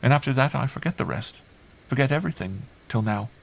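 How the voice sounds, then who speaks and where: A man's voice: mid-range voice, animated, fast, gravelly, voice pushed up. A man voicing a bewildered, earnest young girl in an audiobook, trusting and fragile, speaking simply. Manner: hushed, simple, earnest delivery. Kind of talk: audiobook character voice.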